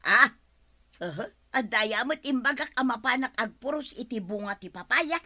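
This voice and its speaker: Forgetful old village wife, Ilocano accent, piercingly bright voice, swooping pitch, plaintive, defensive, audio drama. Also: female voice, animated, normal speed, no echo. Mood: indignant.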